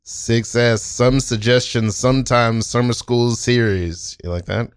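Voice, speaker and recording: A man's voice: mid-range voice, steady tone, unhurried, smooth, dry recording, clean studio sound. A man hosting an improv comedy talk show in a rambling, playful, casual way that often halts mid-thought.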